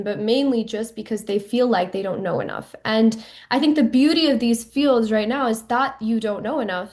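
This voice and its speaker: A woman's voice: fairly high pitch, a little mellow. A woman student on a panel, introducing her ventures in an earnest, enthusiastic way. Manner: earnest, enthusiastic, repeats "really".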